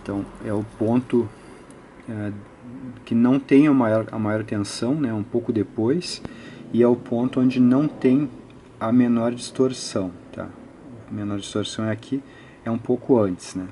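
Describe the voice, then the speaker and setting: A man's voice: medium pitch, normal speed, dark tone, slight background noise. A Brazilian man giving an unscripted technical tutorial, methodically thinking aloud and often adding short tag questions. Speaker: electronics hobbyist presenter.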